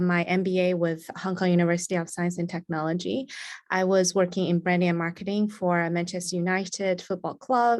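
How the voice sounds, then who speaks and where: A woman from China, a student panelist introducing herself over a video call, measured, with many ums and self-corrections. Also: on the low side.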